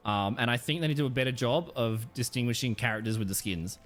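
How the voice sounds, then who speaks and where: A man's voice: mid-range voice, quick pace, fairly bright tone, faint music behind. A man streaming a game live, chatting casually with viewers and giving rambling, blunt commentary full of slang and some swearing.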